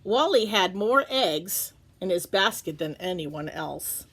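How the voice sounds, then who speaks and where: A woman teacher reading a children's picture book aloud in an animated way, with playful, chatty asides and questions to her pet bird. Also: lowish voice, takes it slowly, slightly rough.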